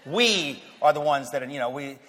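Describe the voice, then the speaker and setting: A man's voice: a little high, expressive, normal speed, fairly bright tone. Joking, restarting man in a reverberant hall. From Queens, New York. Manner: conversational and humorous, with stammered restarts and rising emphasis. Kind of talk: casual personal talk.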